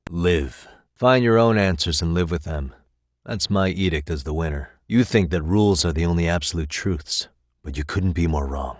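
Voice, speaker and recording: A man's voice: sits low, moderate pace, no room sound, clean studio sound. An actor playing a casual, wry and encouraging male detective who doubles as a school counselor, in an English video game dub, with a conversational delivery. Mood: confident.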